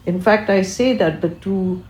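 A woman's voice: mid-range voice, a little slow, a little mellow, echoey room. An older woman, a native Urdu speaker, giving eloquent, reflective interview answers as a physician and researcher, phrased with deliberation.

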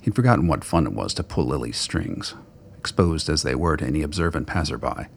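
A man's voice: a bass voice, lively intonation, fairly quick, gravelly, slight background noise. A man giving dramatic scripted narration of fiction in a brooding, literary storytelling manner. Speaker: narrator of a radio play.

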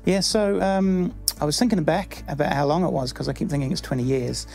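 A middle-aged man with a New Zealand accent talks through an interview in a halting way, full of fillers and restarts. His voice has a gravelly edge, and faint music plays behind him. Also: on the high side, darkish tone. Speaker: church member.

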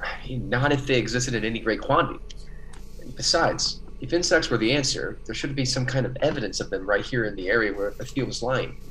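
A man's voice: medium pitch, fairly quick, fairly bright tone, a little hiss or hum, lightly acted, poor audio. A man playing an earnest, defensive biologist on a colony planet in a classic radio play, emphatic and easily flustered. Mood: reasoning.

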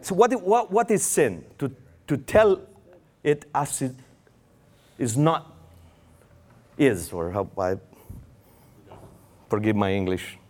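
A man's voice: mid-range voice, lively intonation, slow pace, long pauses, a little mellow. A man preaching a sermon in English with a Romanian accent, his first language Romanian, acting out quoted prayers with raised voice.

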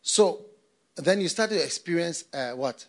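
An older pastor from Ghana delivers a sermon, his fairly high voice swinging widely up and down. He leans on repeated phrases and asks the congregation questions. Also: animated, a little slow. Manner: preaching with repetition and rhetorical questions.

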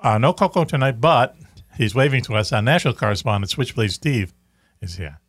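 A man's voice: mid-range voice, expressive, fairly quick, breathy edge, no echo. A middle-aged man with a Boston accent hosting a radio talk show, rambling and joking with the gang and swearing casually. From Boston area, USA. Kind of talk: casual banter.

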